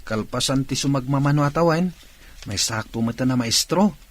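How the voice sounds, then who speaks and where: Young guy doing an earnest son in a radio drama, plain even voice. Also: mid-range voice, normal speed, close to an everyday voice.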